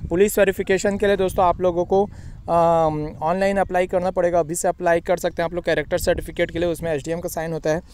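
A young man with a fairly high voice runs through an exam update in a brisk, chatty way, calling his viewers friends. He is recorded on a phone at arm's length outdoors, with faint street and vehicle noise underneath. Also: level tone, darkish tone. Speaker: exam coaching channel host. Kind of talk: fast informal exam-update explainer. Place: outdoors beside a railway track.

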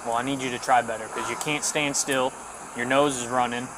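A woman's voice: rumbling low, steady tone, fairly quick, very noisy. An American woman police officer talking someone down in a firm, insistent, repetitive way. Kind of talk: talking down an agitated person. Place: large grocery store aisle, indoors. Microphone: body camera. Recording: body-camera audio with store hubbub.

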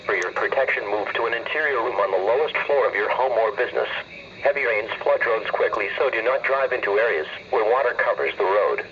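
A synthetic male announcer formally reading scripted emergency weather warnings in a measured way. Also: mid-range voice, expressive. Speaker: automated weather radio announcer. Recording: muffled radio speaker, loud hiss.

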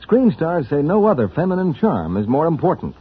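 Animated, husky male radio announcer. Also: on the high side, a little fast. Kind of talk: 1940s radio announcing and scripted ad copy. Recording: muffled 1940s radio broadcast.

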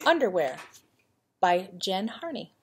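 A woman's voice: mid-range voice, big pitch swings, slow, a little mellow, breathy edge. A woman reading a children's picture book aloud in a playful, dramatic manner, with chatty asides to young listeners. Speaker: elementary school vice principal reading to pupils.

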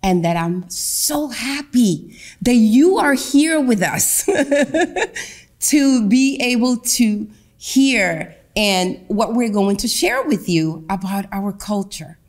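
A middle-aged woman from Honduras, a Garifuna speaker, giving a public talk from a lectern in a meeting room, with a slow, emphatic delivery. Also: mid-range voice, lively intonation, darkish tone. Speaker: guest speaker. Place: meeting room with a seated audience. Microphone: lectern microphone.

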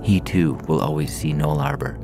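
A man narrates fiction in an extremely low voice with heavy fry. His reading is measured and deliberate, set over clearly audible music. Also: animated. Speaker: podcast story reader.

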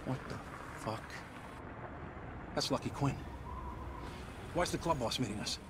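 A man's voice: mid-range voice, a little slow, lightly acted. An actor playing a frightened young crook in a video game, a man talking in a nervous, defensive, stammering way. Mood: agitated. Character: young male crook, scared and pleading. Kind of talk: video game cinematic acting. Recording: loud music under the voice.

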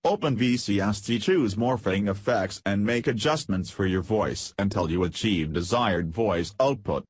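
A man reading a scripted, step-by-step software tutorial as its narrator. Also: lowish voice, moderate pace, hardly any pauses, breathy edge, dry recording.

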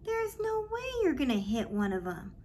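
Woman acting out goading neighborhood kid, boy, storybook read-aloud, animated, higher pitch. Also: normal speed, a little hiss or hum.

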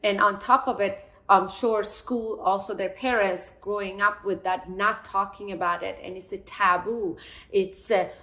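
Bright-voiced middle-aged woman therapist. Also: mid-range voice, fairly even intonation. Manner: measured, explanatory, gestures while talking. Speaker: psychotherapist guest. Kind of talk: earnest interview answers. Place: bright living room indoors. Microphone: lapel mic.

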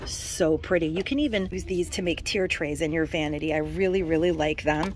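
A woman's voice: fairly low pitch, a little hiss or hum. A woman in her forties, chatting enthusiastically as she films herself shopping in a store on her phone. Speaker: shopping vlogger. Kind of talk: casual shop-with-me narration. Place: inside a discount store, walking the aisles. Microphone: handheld phone camera.

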